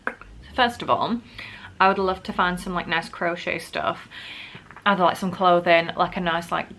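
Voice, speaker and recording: A woman's voice: fairly low pitch, fairly even intonation, moderate pace, fairly bright tone, slight background noise. A woman vlogger, sick and wheezy with a bad cough, chatting casually to camera and poking fun at herself. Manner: chatty, self-mocking, many "like" fillers.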